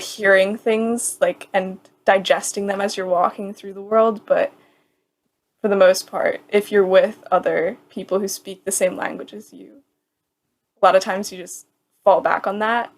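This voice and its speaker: A woman in her twenties chats casually as a podcast co-host. She talks in a rambling, easygoing way and keeps dropping "like" into her sentences. Her voice sits at a middle pitch. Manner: chatty, rambling, peppered with "like".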